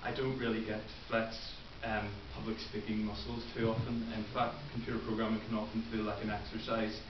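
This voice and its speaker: A man's voice: on the low side, completely flat delivery, a little fast. A man in his twenties with a Northern Irish accent, giving an earnest prepared speech with frequent ums and uhs. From Northern Ireland. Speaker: club member giving a first speech. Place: meeting room. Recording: roomy, distant, muffled with hiss.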